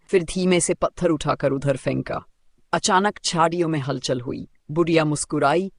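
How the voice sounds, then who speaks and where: High-pitched, creaky male storyteller. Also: no echo, studio quality. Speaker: storyteller narrator. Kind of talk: scripted dramatic folk-tale narration in Hindi.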